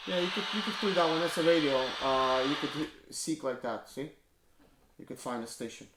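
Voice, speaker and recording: Casual man in an echoey noisy room. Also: a little high. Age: 30s. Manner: relaxed and halting, with many fillers and restarts. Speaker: YouTube host. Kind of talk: casual product unboxing. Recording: echoey room with background noise.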